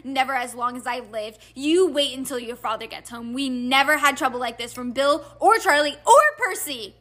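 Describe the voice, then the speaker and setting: Woman acting a high-pitched, scolding angry mother. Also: sing-song, measured pace, bright, forceful tone, full character voice. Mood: furious, worried. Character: scolding, frantic mother, short, plump, middle-aged.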